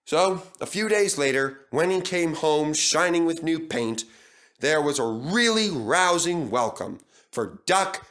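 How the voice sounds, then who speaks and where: Male storybook narrator: dramatic scripted read, bright forceful tone, animated pitch. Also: takes it slowly.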